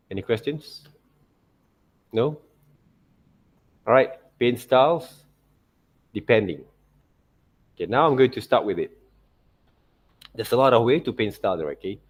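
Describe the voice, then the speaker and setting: Malaysian-accented man teaching a class. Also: medium pitch. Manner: repeats tag words like okay and right. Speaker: art teacher. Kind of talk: informal software tutorial to students.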